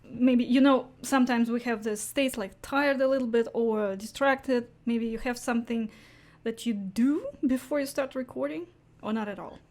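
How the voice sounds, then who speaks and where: A woman's voice: fairly high pitch, moderate pace, a little mellow. A woman interviewer in a casual chat, phrasing her questions tentatively and often acknowledging what she hears.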